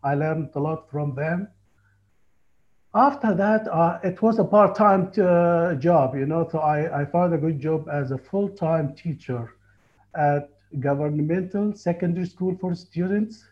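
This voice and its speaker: A man in his forties from Egypt with an Egyptian accent, telling his life story in a halting, earnest way over a call. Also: a little high. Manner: halting, earnest, frequent restarts. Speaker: tour guide and Egyptologist. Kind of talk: casual interview on a call. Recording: muffled lo-fi call audio.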